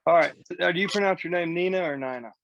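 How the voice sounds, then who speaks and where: A man's voice: high-pitched, fast, vocal fry, a bit bright, no echo, muffled recording. A man hosting a casual coaching call, speaking in an earnest, colloquial way as a real estate coach.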